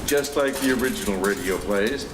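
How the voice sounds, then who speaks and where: A man's voice: on the low side, normal speed. A man giving a scripted welcome announcement to introduce a community theater performance. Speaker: event announcer. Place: indoor community theater space. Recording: echoey, noisy room recording.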